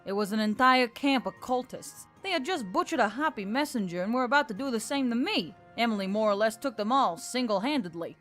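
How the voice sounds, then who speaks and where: A woman's voice: fairly high pitch, expressive, a little fast, few pauses, fairly bright tone. A woman voicing a firm, loyal centaur woman over music. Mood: boastful, firm. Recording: music bed under the voice.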